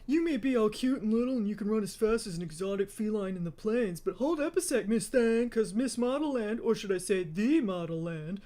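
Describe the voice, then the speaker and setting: Woman doing a loud, brash, sassy teenage girl. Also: very high-pitched, very animated, normal speed, hardly any pauses, pitched above the performer's own voice. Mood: indignant, mocking. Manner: brash, mocking, slangy, emphatic. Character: big, sassy, tough-talking teenage girl.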